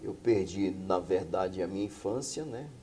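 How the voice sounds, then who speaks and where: Deep gravelly middle-aged pastor. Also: male voice, normal speed, warm tone, a little hiss or hum. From Bahia, Brazil. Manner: earnest storytelling with frequent tag questions. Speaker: pastor and singer, guest. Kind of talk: telling his life story in a studio interview. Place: small TV studio.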